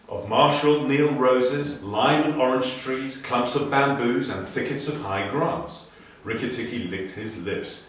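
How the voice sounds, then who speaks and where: A man reading a children's story aloud with theatrical expression and clear enunciation. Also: mid-range voice, a bit bright. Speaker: storybook reader. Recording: very echoey room, distant mic.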